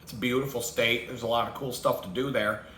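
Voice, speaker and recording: A man vents in a blunt, profane monologue, swearing freely and chuckling at his own jokes. His voice is bright and sits at a middle pitch. The room echoes around him, with a faint hum of background noise. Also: steady tone, a little fast. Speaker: vlogger host. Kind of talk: profane rambling rant.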